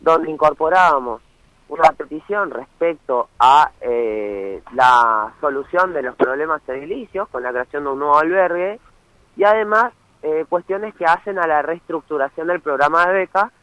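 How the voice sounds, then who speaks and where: A man's voice: fairly high pitch, moderate pace, bright, forceful tone, no echo. A young man from Misiones with an Argentine Spanish accent, calling in as a student activist and explaining his cause earnestly, often checking in with "¿sí?". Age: young adult. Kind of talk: phone interview. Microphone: phone. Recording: muffled phone line.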